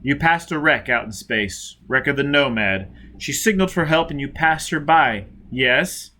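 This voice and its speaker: A man's voice: fairly high pitch, expressive, moderate pace, sharp tone. A man voicing a vengeful, merciless spaceman who presses his captive with blunt, taunting questions in a science fiction audiobook. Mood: relentless questioning.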